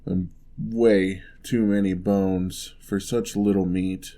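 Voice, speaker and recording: A huge, gentle giant played by a man for an ASMR fantasy roleplay. He talks very slowly in a low, mellow voice. His manner is soft and courteous, with old-fashioned phrasing and a wry, teasing streak. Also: flat delivery.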